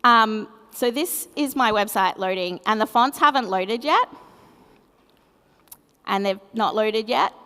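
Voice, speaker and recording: A woman with an Australian accent talks through a conference presentation in a laid-back, self-mocking way. Her voice sits a little high and comes across smooth and clear. A long reverb from a big hall trails behind it. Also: takes it slowly, pauses often. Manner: relaxed, self-deprecating, chatty with fillers. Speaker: conference speaker. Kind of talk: tech conference talk.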